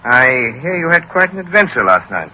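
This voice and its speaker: An actor playing a genial, urbane gentleman with a suave, kindly manner in a 1930s radio drama. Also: fairly high pitch, sing-song, fairly quick, exaggerated voice, rough recording.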